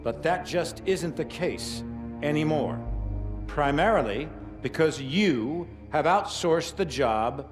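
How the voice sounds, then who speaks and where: Slow, deliberate, commanding corporate warlord. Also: male voice, fairly high pitch, lively intonation. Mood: measured, smug. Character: arrogant, menacing corporate military chief addressing world leaders. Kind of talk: formal speech turning defiant. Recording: cinematic music behind.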